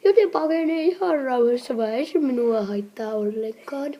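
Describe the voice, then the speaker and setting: A woman's voice: high-pitched, mellow. A woman voicing a muddled, indulgent old grandfather who rambles, in a hobby anime comedy dub. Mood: musing, indulgent.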